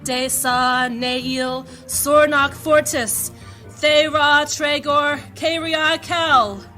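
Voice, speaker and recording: A woman's voice: high-pitched, steady tone, drawn-out words, bright, forceful tone, soft background music, exaggerated voice. A woman playing a resolute, commanding mage with formal archaic diction in a fantasy audio drama. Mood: fierce incanting. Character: proud, commanding female mage, resolute and incanting spells.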